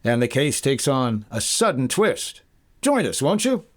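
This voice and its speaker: A man playing a wry, suspicious American investigator with a brisk manner, in the style of 1950s radio detective drama. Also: mid-range voice, very animated. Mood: teasing. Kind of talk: 1950s radio detective acting, first-person narration.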